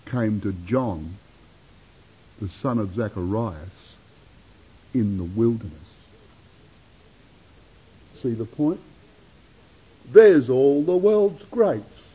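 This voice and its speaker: A man preaching a sermon to his congregation, addressing them as brethren and sisters and swinging his delivery from loud declamation to hushed asides. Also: husky. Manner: dramatic rises and drops in pitch and loudness. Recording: dull lo-fi sermon recording.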